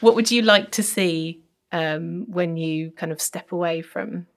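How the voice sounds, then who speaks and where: Softly questioning female podcast host. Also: fairly low pitch, unhurried. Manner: hesitant, restarts questions. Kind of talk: casual interview chat.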